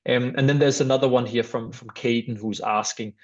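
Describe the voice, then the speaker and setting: A man's voice: medium pitch, fairly even intonation, fairly quick, highs cut off. A man hosting a webinar, politely reading out audience questions to a guest over a video call. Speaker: webinar host. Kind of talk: moderating a webinar Q&A.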